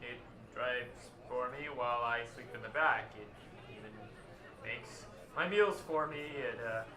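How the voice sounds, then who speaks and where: A man playing a bumbling, easygoing RV enthusiast in an amateur radio play, halting, sheepish and polite. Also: medium pitch, far from the mic, crowd noise, lightly acted. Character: bumbling, easygoing male RV fan. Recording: roomy, echoing sound.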